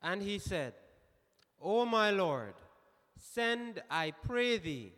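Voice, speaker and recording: Guy reading the bible out loud, really high voice, super slow n emphatic, big echoey hall. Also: very animated. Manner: emphatic, deliberate phrasing. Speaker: scripture reader in a church service. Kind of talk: formal Bible reading aloud. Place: church hall with long reverb. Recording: echoey hall, long reverb.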